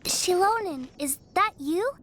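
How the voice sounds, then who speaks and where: A woman's voice: very high voice, lively intonation, unhurried, slight background noise, full character voice. A woman voicing a sweet, polite, frail little girl in a video game. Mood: friendly. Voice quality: childlike. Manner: polite, earnest. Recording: loud music behind. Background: music.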